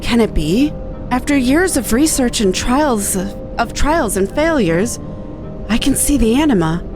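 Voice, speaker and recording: A woman's voice: on the high side, expressive, measured pace. Woman reading a proud, formal scholar. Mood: awed joy. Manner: formal, precise, lofty. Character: bookish, proud, earnest scholarly researcher. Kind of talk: game dialogue read-aloud. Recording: music behind the voice.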